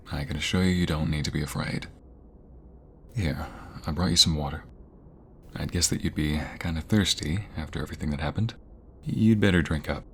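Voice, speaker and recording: Low, gravelly obsessive firefighter played by a man. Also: slight background noise. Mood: reassuring, friendly. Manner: coaxing, softly insistent, hesitant stammers. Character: male firefighter, obsessive captor posing as caring rescuer. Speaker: audio roleplay voice actor. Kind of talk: intimate audio roleplay acting.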